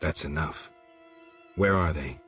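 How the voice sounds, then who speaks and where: An actor playing a stoic, gruff monster hunter in a video game, terse and deadpan with a gravelly voice. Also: male voice, rumbling low, slow, faint music behind, exaggerated voice. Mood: cool, businesslike.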